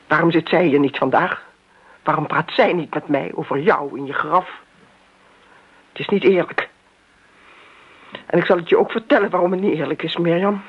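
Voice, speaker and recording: Husky, bitter grown daughter. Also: female voice, fairly low pitch. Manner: reproachful, scolding. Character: bitter, accusing grown daughter of a dead mother. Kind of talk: radio drama acting. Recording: dull, lo-fi radio recording.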